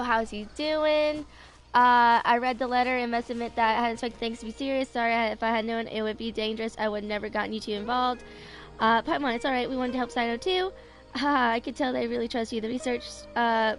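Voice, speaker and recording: A woman in her twenties on a casual game livestream, chatting and reading dialogue aloud in a halting, rambling way. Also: a little high, a bit bright. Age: 20s. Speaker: game streamer.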